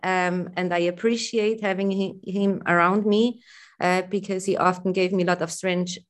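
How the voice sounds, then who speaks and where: A woman with a Swiss accent calling in to share a personal story, hesitant and full of pauses and fillers. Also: fairly low pitch, fairly even intonation. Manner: hesitant, many pauses and fillers, soft laughs. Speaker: caller. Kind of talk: personal testimony on a call-in.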